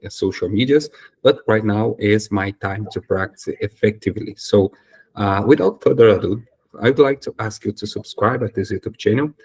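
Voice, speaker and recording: A man's voice: lowish voice, a little mellow. A young Brazilian man whose first language is Portuguese, speaking English with a Brazilian accent in a casual talk to camera, hesitant with many fillers and self-corrections. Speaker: YouTuber and English learner.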